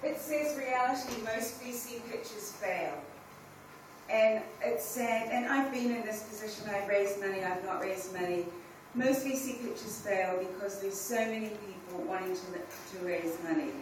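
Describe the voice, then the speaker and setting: Middle-aged New woman, panel speaker, candid and conversational; distant mic in a boomy hall with noise behind her. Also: unhurried. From New Zealand. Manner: conversational, direct, restarts phrases. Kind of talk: informal conference talk. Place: large conference hall. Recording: very echoey hall from far off, with ambient noise.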